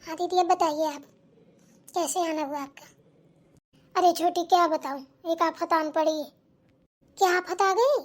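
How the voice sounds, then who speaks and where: A woman's voice: very high voice, a little slow, pauses often. A woman voicing grumbling, gossipy village women in a family cartoon, talking in a colloquial, complaining way. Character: chatty village daughters-in-law and nagging mother-in-law, grumbling.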